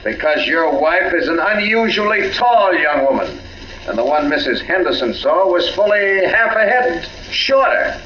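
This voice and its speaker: Sly, probing police captain, forceful and echoey. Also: male voice, fairly high pitch, big pitch swings, measured pace, highs cut off, full character voice. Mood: pressing, insinuating. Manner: folksy, needling, falsely casual questioning. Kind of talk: 1940s radio mystery acting. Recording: echoey, hissy old radio recording.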